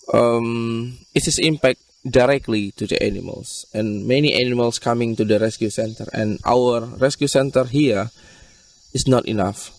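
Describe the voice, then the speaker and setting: An Indonesian-accented man speaks in a middle-pitched voice, explaining his work earnestly in halting English with many pauses. The mic sits some distance from him, so he sounds slightly far off. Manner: earnest, halting non-native English with frequent fillers. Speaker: rescue center manager. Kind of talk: interview answers. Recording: voice picked up from a distance.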